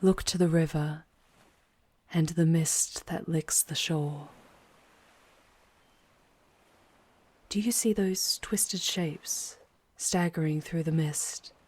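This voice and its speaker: A woman playing a charming, poised female demon guide in an intimate ASMR roleplay, speaking in a measured way with breathy, hushed touches. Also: low voice, fairly even intonation. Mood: eerie, intimate. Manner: measured, deliberate, often dropping into breathy whispers.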